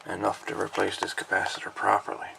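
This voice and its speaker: A man giving a casual, unhurried, folksy hands-on repair narration, thinking aloud as he goes. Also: deep voice, completely flat delivery, vocal fry, noisy background. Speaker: hobbyist radio repairer presenting a video.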